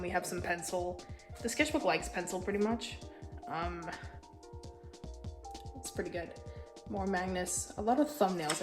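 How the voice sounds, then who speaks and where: A young woman with a congested, stuffy voice, casually touring her sketchbook in a tired, low-key way and swearing now and then. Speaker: artist showing a sketchbook.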